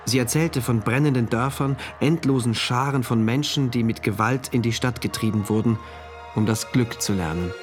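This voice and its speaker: Earnest, brooding diarist played by a man, mid-pitched. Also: moderate pace, lightly acted. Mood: reflective, narrating. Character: earnest male mathematician diarist, dutiful, brooding, inwardly shaken. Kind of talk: radio play first-person narration and dialogue. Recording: music behind the voice.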